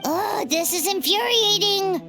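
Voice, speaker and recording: A woman voicing a small, childlike girl companion in an anime game, squeaky, peppy and blunt. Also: a little slow. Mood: frustrated. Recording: music behind the voice.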